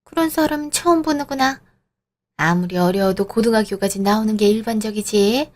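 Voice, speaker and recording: A woman's voice: medium pitch, a little fast, lightly acted. Sneering older woman, condescending, lecturing, storybook drama, read by a woman. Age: 60s. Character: snobbish older woman professor, condescending mother-in-law. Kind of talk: audio drama reading.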